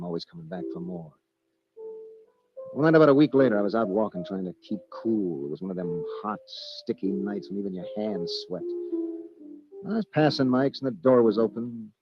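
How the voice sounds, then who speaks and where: An actor playing a tough, bitter drifter in an old-time radio noir drama, talking in clipped, terse hardboiled phrases. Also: male voice, lowish voice, lightly acted. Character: tough, bitter young man drifter back from a year away. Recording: muffled old radio recording, music behind. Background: music.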